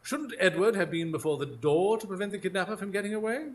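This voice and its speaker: An actor playing a keen, self-assured Victorian detective in a live radio drama, speaking formally and precisely. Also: male voice, sits high, quick pace, lightly acted. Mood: analytical. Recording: live stage recording, faint ambient noise.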